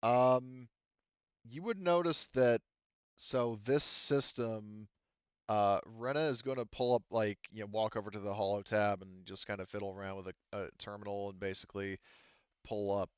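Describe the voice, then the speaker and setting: A man improvising narration as game master in a conversational way, halting as he searches for words and casually profane. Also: fairly low pitch, steady tone, clear, resonant tone, no room sound, dull audio. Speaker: game master of a tabletop roleplaying game.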